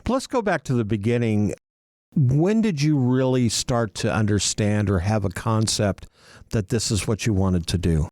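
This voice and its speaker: A man's voice: on the low side, lively intonation, normal speed, darkish tone, no room sound, studio quality. Man hosting a friendly interview. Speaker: podcast host. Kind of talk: casual interview.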